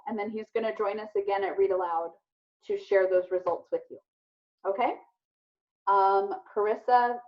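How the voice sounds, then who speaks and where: A woman teacher talking casually and encouragingly to children on a video call, often praising and affirming them. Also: medium pitch. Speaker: elementary teacher hosting an online read-aloud. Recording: muffled, band-limited video call audio.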